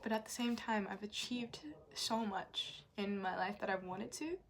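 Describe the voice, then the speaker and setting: A woman's voice: mid-range voice, fairly even intonation, unhurried. A young woman vlogging candidly about her own life in a frank, rambling, confessional way. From Japanese, raised in Indonesia and New Zealand. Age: young adult. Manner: confessional, frank, rambling with fillers and mild swearing. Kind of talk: candid personal vlog.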